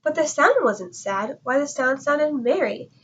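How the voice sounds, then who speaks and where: Higher-voiced woman reading a storybook aloud. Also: lively intonation, a little slow, fairly bright tone, muffled recording. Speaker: storybook reader. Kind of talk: expressive children's read-aloud.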